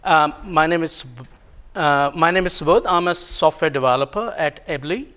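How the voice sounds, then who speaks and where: A man whose first language is Hindi, giving a technical talk at a conference, halting with many ums and self-corrections and a bit of self-deprecating humor. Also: fairly high pitch, pushed and bright. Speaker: conference presenter. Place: conference room.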